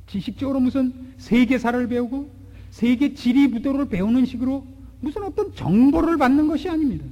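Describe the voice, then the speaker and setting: A man in his forties preaching a sermon, asking rhetorical questions and swinging between loud and hushed delivery. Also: sits high, slightly rough. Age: 40s. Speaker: pastor. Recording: long hall reverb.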